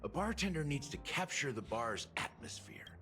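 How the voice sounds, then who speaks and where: Suave male bartender, fantasy game voice, theatrical, self-assured, animated intonation, music in background. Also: on the high side, moderate pace. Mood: confident, dramatic. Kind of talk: video game voice acting. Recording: music clearly behind voice.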